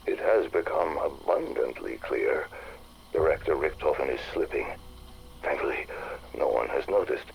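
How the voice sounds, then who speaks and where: An arrogant scientist dictates a video game audio log in a very deep, creaky voice. His delivery is measured and disdainful, with dramatic swings in intonation over faint background noise. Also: expressive, heavily acted, rough recording. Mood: cold, formal. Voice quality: gravelly. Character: arrogant, scheming middle-aged male research scientist, quietly contemptuous.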